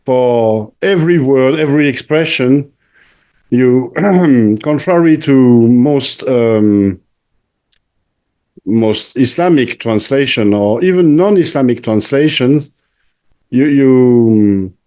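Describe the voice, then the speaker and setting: A man hosting an interview in conversation, speaking haltingly, often repeating words and searching for the next one. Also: mid-range voice, dark tone. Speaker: channel host interviewing a returning guest.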